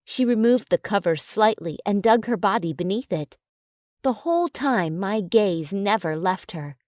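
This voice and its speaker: A woman narrating an audiobook in a calm, even storytelling manner. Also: mid-range voice, unhurried, no room sound, clean studio sound. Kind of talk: audiobook narration of a romance novel.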